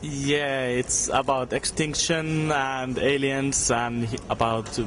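Young man from finland, relaxed, noise audible behind. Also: medium pitch, takes it slowly. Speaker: exchange student. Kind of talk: casual interview answers. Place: lounge at a game jam. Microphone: handheld mic held by interviewer.

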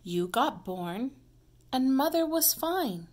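A woman's voice: high voice, very animated, slow, darkish tone, voice pushed up, exaggerated voice. A woman reading the part of a reassuring, matter-of-fact older sister in a children's storybook. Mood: comforting.

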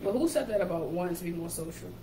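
Casual co-host: New York woman, thirties, animated and slangy, recorded in an echoey kitchen with background noise. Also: on the low side. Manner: animated, slangy, quick asides. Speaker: page admin and co-host. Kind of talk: casual chat on a livestream. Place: indoor kitchen. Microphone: camera or laptop mic.

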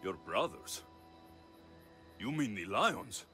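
An actor with a Greek accent playing a confident, wry ancient Greek mercenary in a video game, dry and measured. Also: male voice, fairly low pitch, big pitch swings, sharp tone, faint music behind, exaggerated voice. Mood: firm.

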